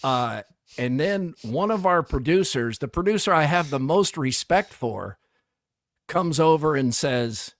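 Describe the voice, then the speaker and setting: A man's voice: on the high side, lively intonation, measured pace, no echo, studio quality. Middle-aged man telling a story. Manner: storytelling, acts out quotes. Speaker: co-host. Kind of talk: casual chat.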